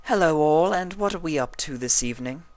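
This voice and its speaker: An elegant, haughty cartoon mare played by a woman reader, pitched high and swooping through every phrase. She speaks with refined, theatrical affectation, every inch the fashion diva. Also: expressive, fairly quick, darkish tone, no echo. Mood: flirtatious. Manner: affected, dramatic, refined diction.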